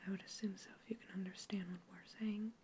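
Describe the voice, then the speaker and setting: A young woman whispering close to the mic in a sci-fi ASMR roleplay, calmly questioning and reassuring as a scientist observing an alien. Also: medium pitch, fast talker, a little hiss or hum. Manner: soft whisper throughout, calm and reassuring. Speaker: ASMR creator. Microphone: close ASMR microphone.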